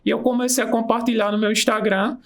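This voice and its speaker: A man's voice: high-pitched, flat delivery, fast, a bit bright. A man, an aromatherapy practitioner, telling his story with enthusiasm in a casual interview. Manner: enthusiastic storytelling, pace shifts. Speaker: aromatherapist and nurse, guest.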